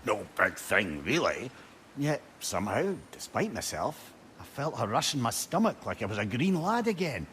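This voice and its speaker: A man's voice: mid-range voice, big pitch swings, normal speed, fairly bright tone. An actor playing a witty, wistful old male advisor with a Scottish accent, telling stories lyrically and wryly in a video game. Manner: lyrical, wry, conversational. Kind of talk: storytelling video game dialogue. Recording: ambient noise behind voice.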